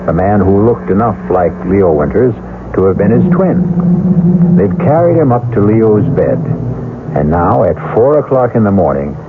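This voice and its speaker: A man hosting a radio mystery, giving measured, dramatic scripted narration. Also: lowish voice, noisy background. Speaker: host narrator. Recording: muffled old radio broadcast with music. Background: music.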